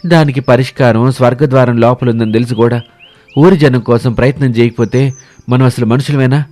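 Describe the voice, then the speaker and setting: A man's voice: mid-range voice, fairly even intonation, brisk, mellow, close to an everyday voice. A man voicing an earnest, selfless villager on a quest, rustic and righteous in manner. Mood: indignant, resolute. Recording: music bed behind the voice.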